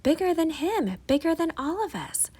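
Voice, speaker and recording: A woman voicing a loving, reassuring storybook mother of a young boy, with a gentle, breathy delivery. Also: extremely high pitch, sing-song, normal speed, pitched above the performer's own voice.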